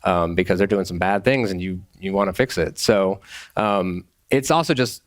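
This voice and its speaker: A man's voice: low-pitched, normal speed, no echo, clean studio sound. A man speaking as a game developer in a casual panel discussion, with informal phrasing full of "like". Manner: informal, says "like" often.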